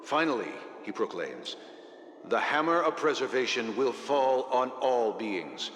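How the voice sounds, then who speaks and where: An actor playing a grave, formal news announcer in a game. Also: male voice, mid-range voice, sing-song, unhurried, a bit bright, roomy sound, long reverb tail, a little hiss or hum. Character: male news broadcast announcer.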